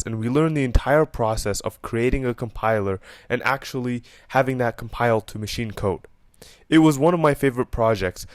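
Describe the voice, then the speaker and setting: A young man with an Egyptian accent explains his coding project in a relaxed, casual way, slipping in fillers and restarting now and then. His pitch sits in the middle range. The recording is dry and close, with no room sound. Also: no room sound. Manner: relaxed, with fillers and self-corrections.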